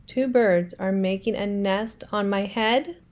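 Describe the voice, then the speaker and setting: A woman's voice: mid-range voice, slow pace, darkish tone, voice pushed down, natural voice. Woman doing a fretful elephant fellow. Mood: worried. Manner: worried, questioning, rising alarm. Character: anxious fretful male elephant. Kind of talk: children's storybook read-aloud.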